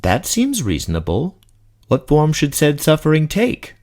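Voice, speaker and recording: A man playing a male dark elf general, composed, commanding and formally courtly, in a fantasy audio drama. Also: fairly high pitch, huge pitch swings, unhurried, voice pushed up. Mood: businesslike.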